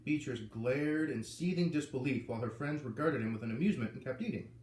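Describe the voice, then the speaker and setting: A man reading a children's novel aloud, lively and putting on voices for the characters. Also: medium pitch, quick pace, distant mic. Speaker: reader. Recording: echoey room with faint ambient noise.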